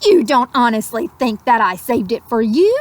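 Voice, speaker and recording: A storybook villain hawk, read by a woman, gloating and hungry. The voice is high for a villain and taunts with a jeering, sneering edge. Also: sing-song, moderate pace, background noise. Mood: gloating, mocking.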